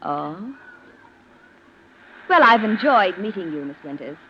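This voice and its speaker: A woman's voice: on the low side, very animated, takes it slowly. An actress playing a cool, scheming female cousin who is formal and pointedly polite, in 1940s radio drama style. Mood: icy, probing. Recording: muffled noisy old radio recording.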